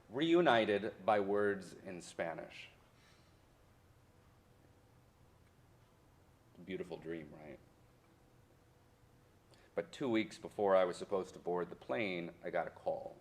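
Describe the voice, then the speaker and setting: A man performs a wounded, defiant history professor in a stage monologue. His voice is low, and he speaks deliberately, swelling and dropping for dramatic weight. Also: a little mellow. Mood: grieving, bitter. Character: haunted Mexican-born history professor orphaned by deportation, wounded, defiant. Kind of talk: theatrical monologue in a staged reading.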